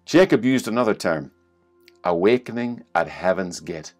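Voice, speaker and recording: A man's voice: low-pitched, expressive, normal speed, soft background music. A middle-aged man with an Irish accent preaching an online sermon from his living room, reading scripture and building to emphatic exclamations, recorded on a webcam. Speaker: pastor. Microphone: webcam at desk distance.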